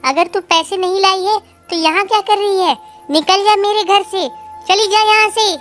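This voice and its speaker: Shrill-high greedy mother-in-law bird acted by a woman. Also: normal speed, fairly bright tone, soft background music. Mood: angry scolding. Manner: scolding, imperious. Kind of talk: Hindi children's cartoon dialogue.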